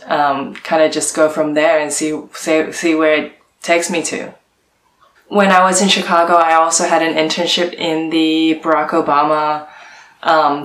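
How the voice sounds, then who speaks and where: A young woman from Hong Kong with a low voice talks easily through her answers. She fills the gaps with "um" and "like". The recording has a roomy echo around her. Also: slight background noise. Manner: chatty with many fillers and "like"s. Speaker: guest, art director. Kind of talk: casual interview answers.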